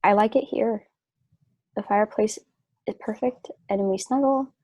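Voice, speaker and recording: A woman playing a sick, trusting wife in a stage play reading, wistful and gently earnest. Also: mid-range voice, measured pace, no echo, muffled recording, close to an everyday voice. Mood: wistful, fond.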